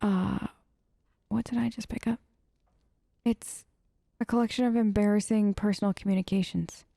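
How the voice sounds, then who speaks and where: A woman's voice: mid-range voice, fairly even intonation, moderate pace, vocal fry, dark tone, no room sound, studio quality. A woman giving casual role-play gameplay commentary as a let's play host, with a playful, hesitant, reactive way of talking.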